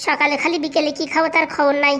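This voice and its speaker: A woman's voice: high-pitched, flat delivery, fast talker, a bit bright. A woman voicing a cheeky, poor young man in a comic cartoon, glib, colloquial and stubbornly argumentative. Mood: stubborn, indignant.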